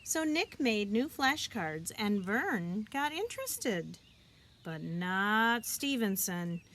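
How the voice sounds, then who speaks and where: Slow, expressive woman reading a storybook. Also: mid-range voice, a little hiss or hum. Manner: expressive, deliberate delivery for children. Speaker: teacher reading a picture book aloud. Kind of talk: children's storybook read-aloud.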